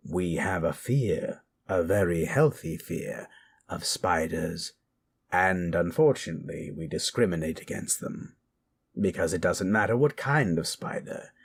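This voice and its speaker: A man's voice: low-pitched, slight background noise. A man hosting and narrating scary stories with theatrical, macabre relish, moving between dramatic storytelling and musing commentary. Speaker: horror story narrator and host.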